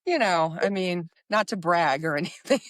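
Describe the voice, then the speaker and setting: A woman's voice: fairly low pitch, expressive, moderate pace, no room sound. A woman hosting a podcast, talking casually and conversationally with her guest. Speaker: podcast host.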